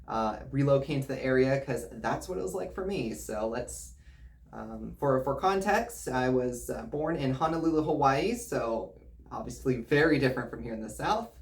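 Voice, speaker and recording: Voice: medium pitch, lively intonation, normal speed. A real estate agent from the United States hosting an informal, explanatory video presentation in a conversational way. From United States, grew up in Virginia and Missouri.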